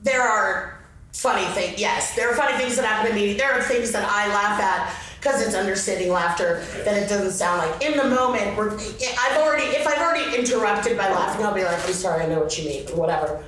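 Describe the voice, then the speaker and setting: A woman chatting casually to a group in a halting, conversational way. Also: medium pitch, fairly bright tone, slight background noise. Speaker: meeting participant. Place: large echoey indoor convention room. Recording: very echoey room, distant mic.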